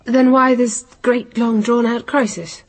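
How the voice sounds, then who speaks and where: Brisk sixties british housewife, genteel and composed, bit of husk in the voice, radio play. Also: female voice, a little high, flat delivery. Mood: dismayed. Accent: British English. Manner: brisk, genteel, self-possessed. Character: composed 1960s British housewife and mother, secretly a spy. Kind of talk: radio drama acting.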